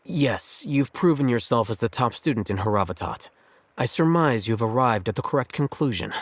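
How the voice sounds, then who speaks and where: An actor playing an aloof, composed young male scholar in a video game, speaking in a measured, formal, dryly condescending way. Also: mid-range voice, lively intonation, slight background noise, lightly acted. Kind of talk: scripted video game voice acting.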